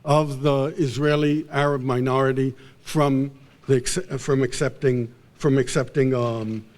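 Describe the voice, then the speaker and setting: A male professor giving an unscripted academic lecture in a halting way, often repeating and restarting his phrases. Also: mid-range voice, fairly even intonation, a little mellow.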